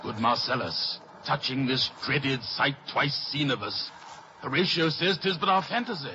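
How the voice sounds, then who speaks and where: A man's voice: medium pitch, normal speed, background noise, close to an everyday voice. Wary night watchman played by an actor, muffled. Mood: uneasy. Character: wary castle soldier on midnight watch. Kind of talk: 1950s radio Shakespeare acting. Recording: muffled 1950s radio broadcast.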